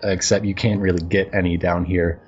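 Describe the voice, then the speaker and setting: A man running a tabletop game as game master, narrating casually and haltingly in a conversational way. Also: low voice, flat delivery, breathy edge, lo-fi sound. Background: music.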